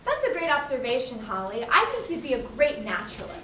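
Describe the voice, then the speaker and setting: A woman's voice: sits high, sing-song, fast. A young woman playing an encouraging, knowledgeable ocean guide, explaining things in a children's puppet show. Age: young adult. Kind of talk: scripted children's educational show. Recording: echoey hall, distant mic, crowd noise.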